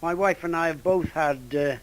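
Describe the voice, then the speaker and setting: A man's voice: on the high side, no echo. An elderly man, his voice going from a cold, speaking hesitantly in a casual amateur radio contact. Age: 80s or older. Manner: hesitant, halting. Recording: ham radio contact over internet app.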